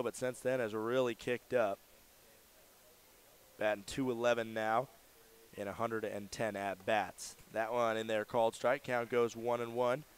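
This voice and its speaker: Clear-voiced man calling baseball play-by-play. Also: medium pitch. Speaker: radio play-by-play baseball announcer. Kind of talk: live sports commentary.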